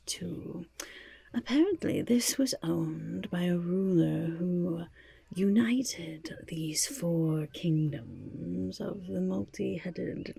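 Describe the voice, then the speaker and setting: Eccentric goblin merchant, played by a woman, whispered ASMR roleplay. Shifty, chatty, rambling; mellow, crackly undertone. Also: on the low side, exaggerated voice. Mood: hushed, showy. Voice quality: breathy whisper with creaky murmurs. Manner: sly, rambling, muttering salesperson, refers to self by name.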